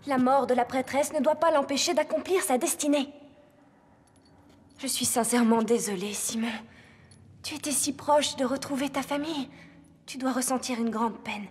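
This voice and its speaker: A high voice rings with long reverb. It is a woman acting a loyal animated fantasy heroine, solemn and stately, who speaks with grave conviction. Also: normal speed, close to an everyday voice. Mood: solemn, compassionate. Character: solemn, loyal female ally urging a chosen heir.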